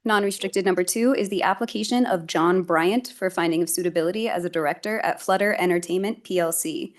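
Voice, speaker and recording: A woman's voice: fairly low pitch, a little fast. A woman as meeting secretary, reading agenda items into the record in a businesslike manner.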